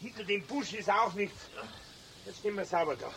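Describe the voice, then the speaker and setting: Irritated, higher-voiced Bavarian man. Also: moderate pace, creaky, a bit bright, a little hiss or hum. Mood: exasperated. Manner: exasperated grumbling. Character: irritated, impatient, bossy Bavarian day-tripper. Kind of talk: German radio play acting.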